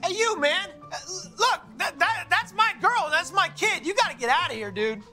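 An American man playing a dim, excitable barfly in a sitcom, with a high-pitched voice and a stammering, repetitive way of talking. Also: moderate pace, faint music behind, full character voice. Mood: indignant. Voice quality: high-pitched, crazy-sounding voice. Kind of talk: sitcom acting. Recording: played back from a TV show.

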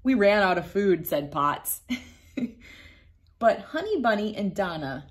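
A woman in her thirties reading a picture book aloud to children, gentle and playful, laughing now and then, recorded on a phone camera indoors. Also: medium pitch, lively intonation, a little slow. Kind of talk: read-aloud storytime for kids. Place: bright room indoors.

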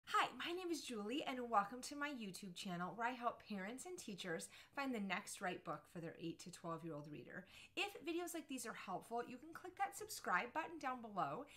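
A woman's voice: fairly high pitch, sing-song, a little fast, hardly any pauses, pushed and bright. A woman in her thirties presenting a book recommendation to camera with an emphatic, storytelling delivery. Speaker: book review channel host for parents and teachers. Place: small furnished room with bookshelves, indoors.

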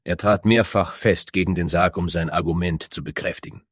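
A man's voice: low-pitched, steady tone, moderate pace, husky, no echo, clean studio sound. A man narrating a scripted fantasy story.

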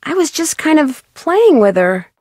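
A woman's voice: high voice, big pitch swings, a little slow, darkish tone, dry recording, studio quality. An actress playing a polite, earnest young female amateur detective in a video game, courteous and a little sheepish.

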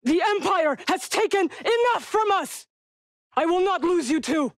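A woman playing a solemn, guarded young witch warrior in a video game, dryly wry, with measured, formal phrasing. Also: extremely high pitch, animated, no room sound, studio quality, exaggerated voice. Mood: urgent, pleading. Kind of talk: video game character dialogue.

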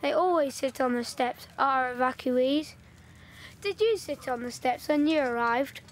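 A woman's voice: high-pitched, a little slow. An actress playing a chatty local village girl in wartime, earnest and gossipy as she chimes in. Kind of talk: audio drama acting.

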